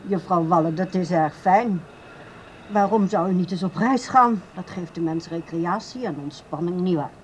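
An actress playing a controlling grown daughter in a radio drama, speaking in a brisk, formal, placating way. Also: female voice, fairly low pitch, a little hiss or hum, close to an everyday voice, poor audio. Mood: polite, dismissive. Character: polite but evasive, controlling adult daughter.

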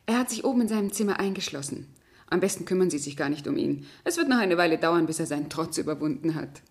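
An actress playing an outwardly solicitous, quietly controlling woman who runs a household, polite and fussy, in a 1970s German radio crime drama. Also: lowish voice, animated, fast. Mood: brisk, polite.

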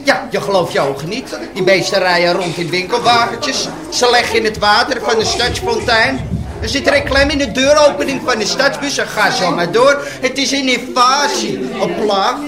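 A man's voice: very high-pitched, normal speed. An actor playing a brusque, incredulous man in a radio play, emphatic and scornful. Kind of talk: Dutch radio play acting. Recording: roomy radio play sound.

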